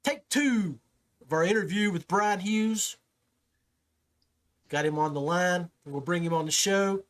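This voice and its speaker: A man's voice: a little high, fairly bright tone. A man hosting a fishing podcast, interviewing a guest in an informal, buddy-to-buddy way. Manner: informal, addresses listeners and guest as guys, buddy, brother. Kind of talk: casual talk show hosting.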